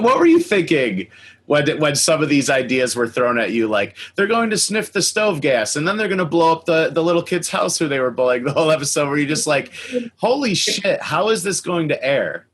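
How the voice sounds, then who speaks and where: A man's voice: fairly high pitch, very animated, moderate pace, fairly bright tone, slightly rough. A man hosting a casual fan interview, talking in an enthusiastic, slangy way with chuckles. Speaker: podcast host and cartoon collector.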